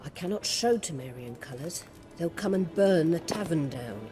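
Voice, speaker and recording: A woman's voice: sits low, lively intonation, normal speed, noisy background. A woman playing a weary, cautious tavern keeper in a fantasy game, restrained and placating. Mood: guarded. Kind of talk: video game dramatic acting. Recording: music behind.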